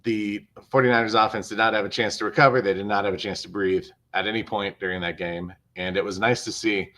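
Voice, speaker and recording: A man co-hosting a sports show on a video call, explaining his take in a measured way and repeating phrases for emphasis. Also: on the low side, fairly even intonation, bright, forceful tone. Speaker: co-host and sports commentator. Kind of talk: casual sports analysis over video call.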